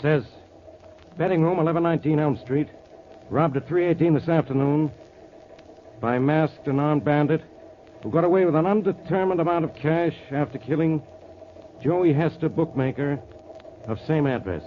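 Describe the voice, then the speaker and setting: An actor playing a troubled, authoritative police inspector in a 1940s radio drama. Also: male voice, fairly high pitch, moderate pace, long pauses, natural voice. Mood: urgent. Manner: terse, authoritative, weighty. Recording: dull, noisy 1940s radio recording.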